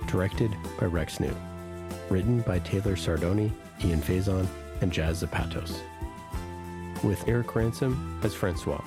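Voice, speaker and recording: A man announcing podcast sponsor messages and credits in a scripted read. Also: low voice.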